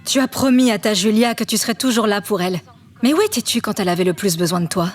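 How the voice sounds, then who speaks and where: A woman's voice: mid-range voice, lively intonation, fast, soft background music, lightly acted. A woman playing an articulate, judgmental, science-minded woman from a parallel universe in a French audio drama. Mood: reproachful. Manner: explanatory, reproachful.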